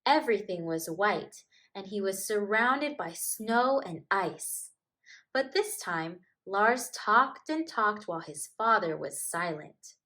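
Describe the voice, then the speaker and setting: A woman's voice: mid-range voice, expressive, slow, pushed and bright. A woman teacher reading a storybook and talking to young children in an encouraging way, with simple phrasing. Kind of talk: storybook read-aloud and gentle address to children.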